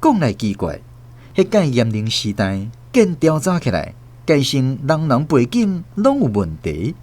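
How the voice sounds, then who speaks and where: A man's voice: mid-range voice, huge pitch swings, a little slow, a little mellow. A man with a Taiwanese accent narrating a radio drama as a scripted, dramatic storyteller.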